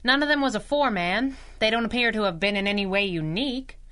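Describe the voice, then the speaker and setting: An actress playing a plucky, wisecracking young sidekick in a 1930s-style radio drama, with a brisk, wry, eager manner. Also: female voice, a little high, sharp tone, smooth. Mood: businesslike.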